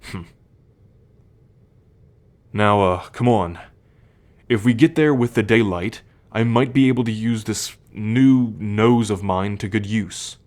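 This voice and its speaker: A young man playing a troubled, protective male werewolf in an ASMR roleplay, speaking in a measured, halting, confiding way. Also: on the low side, a little mellow. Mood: earnest.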